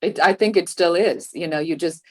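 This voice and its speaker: A woman with a notably low voice, speaking as a guest on a business podcast. She talks fluently and confidently, often adding "you know" as she explains her points. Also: normal speed. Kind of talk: casual interview chat.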